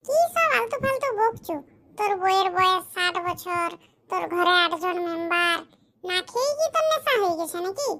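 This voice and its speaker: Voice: very high voice, animated, moderate pace, bright, forceful tone, slight background noise, heavily acted. A performer voicing a curious, skeptical village man in a cartoon, who keeps firing questions. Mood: incredulous. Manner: questioning, incredulous. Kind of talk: cartoon comedy voice acting.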